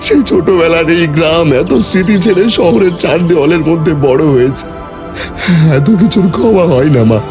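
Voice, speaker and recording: A man's voice: on the high side, normal speed, pitched above the performer's own voice, close to an everyday voice. A man voicing a heartbroken young man in a horror cartoon, speaking with vehement emotion. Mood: hurt, accusing. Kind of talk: Bengali horror cartoon dialogue. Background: music.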